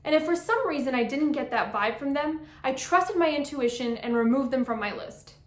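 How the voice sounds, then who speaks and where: A young woman from Brazil with a high, bright voice, upbeat and a little playful as she gives advice from a script. The room around her rings with a noticeable echo. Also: animated, normal speed. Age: young adult. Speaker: vlogger.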